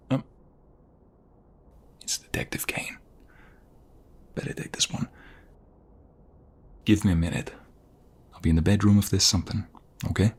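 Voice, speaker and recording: A man playing a flirtatious, protective lover in an intimate ASMR romance roleplay, with a husky, gravelly voice. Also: fairly low pitch, darkish tone, heavily acted. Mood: businesslike. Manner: intimate, affectionate, coaxing, one-sided dialogue. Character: flirtatious, protective male lover, tender mobster romance hero.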